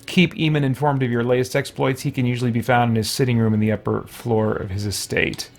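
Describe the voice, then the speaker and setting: A man's voice: mid-range voice, level tone, fast, vocal fry. A man hosting a gaming playthrough, giving casual commentary and reading game text aloud. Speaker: gaming video host.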